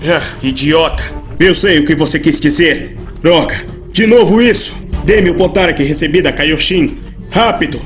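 Fairly high proud warrior, played by a man, Brazilian accent, curt, grumpy, dismissive, anime fan dub, loud music. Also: moderate pace, natural voice. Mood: defiant. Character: proud, grumpy adult male warrior rival.

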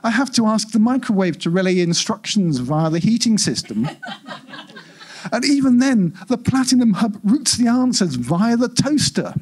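Give me an exasperated household AI from a near-future story, performed by a man reading aloud. It is self-important and fretful, complaining with indignant emphasis. The voice is husky and swoops widely in pitch. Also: sing-song, moderate pace, darkish tone, full character voice. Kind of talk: live short-story reading.

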